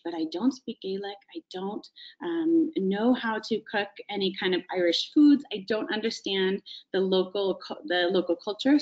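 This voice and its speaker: A woman's voice: mid-range voice, darkish tone. Woman, thirties, webinar presenter, explanatory, webcam over video call, slightly dull audio. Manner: explanatory, frequent "right?" check-ins.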